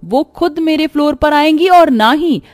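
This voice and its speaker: A haughty, boastful rich sister-in-law from a cartoon melodrama, acted by a woman. The voice is pitched up and mocking, sneering as it goes, over a soft music bed. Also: animated, measured pace, dry recording. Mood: angry, scornful. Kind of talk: Hindi animated family melodrama.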